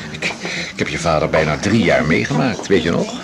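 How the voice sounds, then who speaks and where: An actor playing a slippery ex-boyfriend in a Dutch radio drama, measured, pompous and evasive. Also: male voice, low voice, very animated, creaky, crowd noise, heavily acted. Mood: condescending. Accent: Dutch from the Netherlands. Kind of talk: naturalistic 1980s radio drama acting. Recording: loud background noise and ambience.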